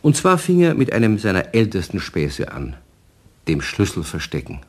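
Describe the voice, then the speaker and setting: Male narrator for children's radio drama: deep voice, lively melody, colloquial storytelling. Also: normal speed.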